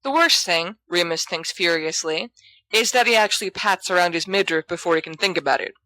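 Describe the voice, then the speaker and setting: A woman reading a scripted story aloud as narrator, with expressive delivery. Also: lowish voice, fairly quick, dry recording, rough recording. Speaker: audiobook reader of fan fiction.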